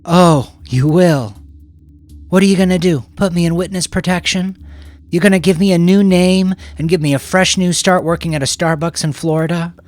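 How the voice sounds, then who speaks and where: Man voicing a brash, world-weary woman, pitched up. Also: steady tone, moderate pace, no room sound, close to an everyday voice. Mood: angry, defiant. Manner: scornful, brash, mocking. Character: hard-bitten, defiant, world-weary middle-aged woman sex worker. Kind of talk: audiobook character voice.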